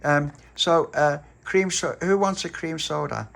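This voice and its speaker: Man putting on a fretful scouse lady, tells everyone off, bright and sharp. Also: on the high side, a little slow, vocal fry, voice pushed up. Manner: scolding, fretful. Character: fussy, easily embarrassed young woman.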